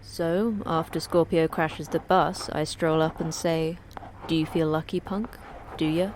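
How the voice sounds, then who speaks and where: Low-voiced, swaggering storyteller, noisy. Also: female voice, fairly even intonation, unhurried. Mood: swaggering, playful. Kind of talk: audio drama acting.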